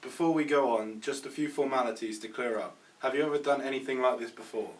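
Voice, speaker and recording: Lecherous, patronising casting director, read by a man, medium pitch, slightly off-mic, amateur comedy read. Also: quick pace, natural voice. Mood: suggestive. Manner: suggestive, patronising. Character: creepy, lecherous male casting director, smooth and suggestive. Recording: distant mic, faint room noise.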